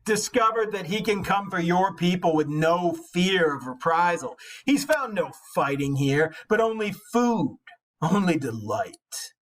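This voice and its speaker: Boastful warrior hero, read by a man: higher male voice, scornful, declamatory, epic-poem delivery. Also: lively intonation, a bit bright. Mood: scornful, defiant. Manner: declamatory, drawn-out emphasis. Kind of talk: dramatic reading of epic poetry.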